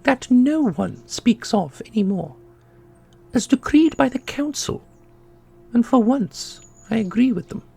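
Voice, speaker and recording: A man voicing a solemn, knowing keeper of an ancient magical order in a fantasy audio roleplay, speaking in a formal, measured way. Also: very high voice, expressive, creaky, mellow, slightly rough, exaggerated voice. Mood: grave. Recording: music under the voice.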